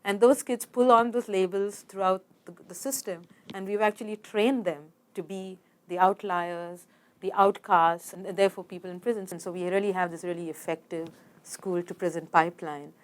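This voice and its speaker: A woman's voice: medium pitch, steady tone, normal speed. A woman speaking in a serious, conversational way in a documentary interview.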